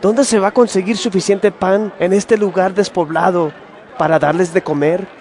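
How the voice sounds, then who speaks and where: A puzzled, doubting disciple in a scripture audio drama. His voice is pitched high, with a faint crowd behind him. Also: steady tone, moderate pace, darkish tone. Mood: doubtful.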